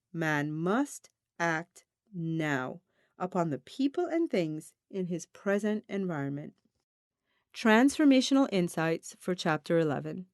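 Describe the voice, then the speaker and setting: A woman reading a self-help audiobook in a deliberate, emphatic, instructional manner. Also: fairly low pitch, darkish tone, no room sound, clean studio sound. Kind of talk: scripted audiobook narration of a self-help text.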